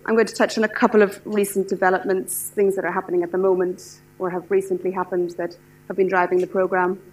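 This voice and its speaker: A middle-aged woman from Ireland with an Irish accent, lecturing a class in a hall, talking fast and eagerly with many fillers and restarts. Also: medium pitch, level tone. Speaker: lecturer, standards specialist. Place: lecture hall with seated audience.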